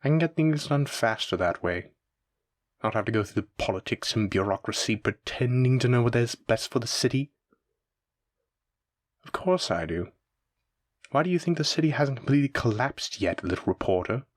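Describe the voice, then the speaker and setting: A man's voice: medium pitch, expressive, moderate pace, long pauses, dry recording, studio quality, natural voice. A man playing a suave, menacing mafia boss in a roleplay audio drama, with a theatrical, teasing and condescending manner. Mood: smug, calm menace. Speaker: voice actor. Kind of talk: scripted roleplay audio drama addressed to listener.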